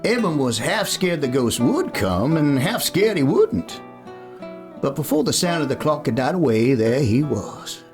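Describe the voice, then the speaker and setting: Man playing a folksy, animated cowboy storyteller. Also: on the high side, a little slow. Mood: warmly folksy. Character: folksy cowboy storyteller telling a boy a tale. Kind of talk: folksy Western radio play narration. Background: guitar music.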